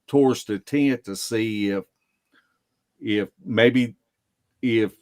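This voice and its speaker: A man telling stories casually as a podcast guest, in a folksy, unhurried way that circles back on itself. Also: medium pitch. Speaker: podcast guest, field investigator. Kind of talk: casual storytelling.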